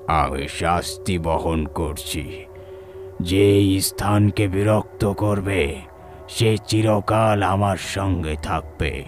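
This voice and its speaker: Man voicing a slow, menacing ancient cursed spirit. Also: deep voice, faint music behind, pitched below the performer's own voice. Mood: ominous. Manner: slow, drawn-out, ominous chanting delivery.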